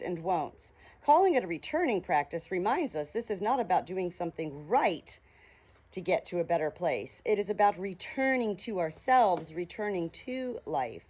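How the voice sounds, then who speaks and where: Lady with a lowish voice reading out loud outside on a farm, chatty and unhurried, bit of animal noise behind her. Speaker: audiobook reader and small hobby farm keeper. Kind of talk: informal read-aloud with casual asides. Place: outdoors on a small hobby farm. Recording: noisy outdoor ambience with farm animals. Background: farm animal sounds, ambient noise.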